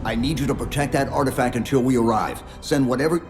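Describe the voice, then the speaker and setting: A man's voice: medium pitch, fast talker, natural voice. A man playing a stoic male supersoldier who speaks in terse, clipped commands. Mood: urgent. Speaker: soldier. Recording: game cutscene, long reverb, music.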